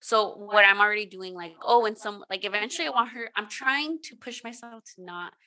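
A woman's voice: mid-range voice, brisk, bright, forceful tone. A woman co-hosting a casual chat, rambling with lots of fillers and restarts. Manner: rambling, filler-heavy with many "like"s. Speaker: podcast co-host.

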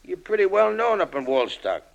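An actor playing a hard, tired old American ex-convict in an old-time radio crime drama, talking tough underworld slang with menace. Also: male voice, high-pitched, sing-song, moderate pace, vocal fry, lo-fi sound, exaggerated voice. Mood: weary, resigned. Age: older.

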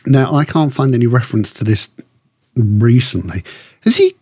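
Male podcast co-host, husky and relaxed, halting conversational delivery, rambling through opinions. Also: medium pitch, no echo, studio quality. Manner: rambling, halting, circles back. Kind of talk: casual discussion of TV shows.

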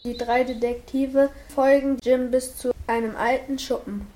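A woman's voice: high-pitched, flat delivery, a little slow, dark tone. A female narrator reading the scripted linking text of an amateur radio play.

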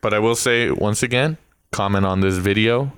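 Deep-voiced joking male co-host. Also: normal speed, a bit bright, smooth, dry recording, studio quality. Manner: joking, playful asides. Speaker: podcast co-host. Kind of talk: casual podcast chat.

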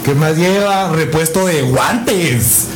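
A man's voice: on the high side, slow pace. A young man co-hosting a casual chat, joking and reading listener comments aloud. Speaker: co-host. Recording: roomy with audible noise.

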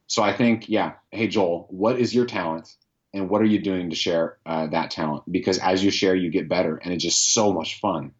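A man with a very deep, low voice talks through his answers slowly and earnestly, as if working them out aloud. The sound is a little dull and lo-fi. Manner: reflective, earnest, thinks aloud. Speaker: podcast host, guest here. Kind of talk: casual interview answers.

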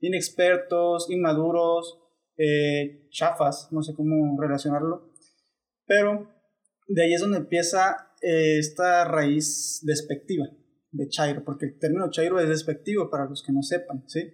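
Joking, rambling Mexican man, slightly high voice. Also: a little slow. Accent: Mexican Spanish. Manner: relaxed, rambling, joking explainer. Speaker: podcast co-host explaining a term. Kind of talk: casual group chat.

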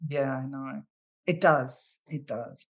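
Low-voiced Australian woman author. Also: slow pace, breathy edge. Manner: animated, hesitant, many fillers. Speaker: guest author. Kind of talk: casual interview chat.

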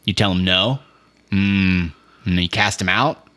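Male co-host for a comedic chat podcast, low-leaning voice, loose slangy delivery. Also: measured pace, pushed and bright. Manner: informal slangy speech, says "dude" and "like" often.

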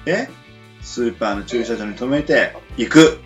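A woman with a western Japanese accent and a very low, manlike pitch hosts a casual radio chat. Her intonation swings freely as she teases and banters. The sound is muffled and band-limited, with music underneath. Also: lively intonation, a little slow. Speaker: podcast host.

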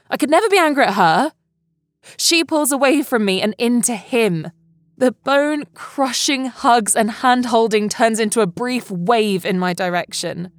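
A woman's voice: medium pitch, dry recording, studio quality. A woman reading a first-person poem aloud as a spoken-word piece.

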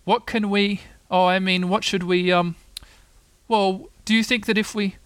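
A man's voice: on the high side, expressive, fairly quick, a bit bright, no room sound. A man voicing a simple, good-natured male toy bear in a storybook, earnest, naive and hesitant.

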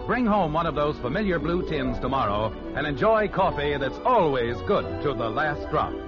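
A man's voice: fairly high pitch, big pitch swings, normal speed. An American man announcing a vintage radio show's scripted sponsor message and sign-off. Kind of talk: old-time radio scripted sign-off and advertising. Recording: dull lo-fi old radio broadcast. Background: music.

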